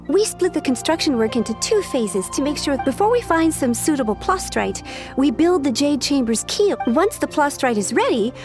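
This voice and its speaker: An actress playing a businesslike woman who oversees a building project and explains things plainly. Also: high voice. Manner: matter-of-fact, explanatory. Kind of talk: game voice acting.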